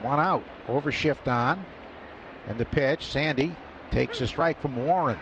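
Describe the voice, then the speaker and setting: A man calling a baseball game live on radio, his voice jumping up in pitch and volume on big plays. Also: a little slow. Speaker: radio play-by-play announcer. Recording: lo-fi radio broadcast with loud ballpark noise.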